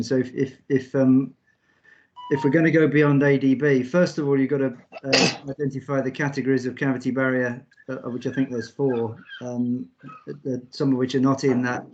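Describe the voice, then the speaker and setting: A man's voice: mid-range voice, darkish tone, highs cut off, slight background noise. A man making precise technical points in an online meeting, with hesitant restarts. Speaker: meeting participant.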